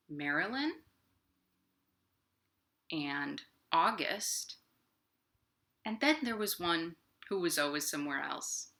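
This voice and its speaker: Woman doing kids storytime, slow pace, pitch goes up and down a lot, animated. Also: creaky. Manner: animated, addressing young children directly. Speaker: library storytime host. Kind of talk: children's storytelling. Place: at home, indoors.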